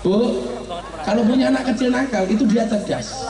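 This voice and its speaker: A man's voice: very high voice, moderate pace. A middle-aged man, giving a humorous sermon and teasing his audience. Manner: joking, teasing the audience, mixing regional dialect. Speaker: preacher. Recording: roomy, from far off, crowd and noise behind.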